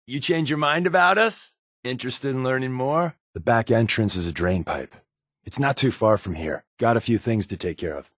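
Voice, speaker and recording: A man's voice: mid-range voice, no echo, studio quality, close to an everyday voice. A man playing a wry, sardonic secret agent companion in a video game, talking casually and confidingly with a wisecracking edge. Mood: persuasive, easygoing. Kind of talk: conversational video game voice acting.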